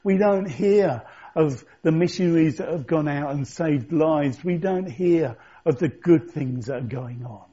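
A man's voice: on the high side, a little slow, few pauses, warm tone, slightly rough. A man preaching a sermon as pastor, speaking conversationally and reflectively, asking his listeners questions. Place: church. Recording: dull lo-fi church recording.

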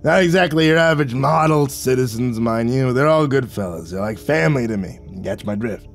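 A man playing a booming gangster boss. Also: animated, brisk, vocal fry. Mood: chummy, sly. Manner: booming, chummy, street slang. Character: big jovial foul-mouthed male gangster boss. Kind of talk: audiobook character voice. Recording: music behind the voice.

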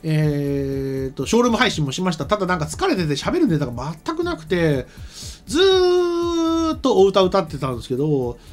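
A man's voice: sits high, very animated, normal speed. A middle-aged man hosting a show, chatting casually and thinking aloud as he rambles through announcements. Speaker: show host and scriptwriter. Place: small studio set, indoors. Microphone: desk microphone on a stand.

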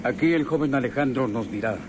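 Actor doing bossy weary lawman chief, middle pitch, radio drama, bit of noise. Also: brisk, close to an everyday voice. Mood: commanding. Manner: commanding, matter-of-fact. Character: weary, brisk boss of lawmen, greedy for treasure.

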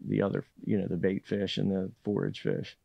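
A man's voice: low-pitched, completely flat delivery, creaky, mellow, no room sound, lo-fi sound. An older man, a state fisheries manager, giving careful answers in a podcast interview, with frequent fillers and restarts. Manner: measured, hesitant, many fillers and restarts. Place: indoor podcast studio. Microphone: boom-arm studio mic.

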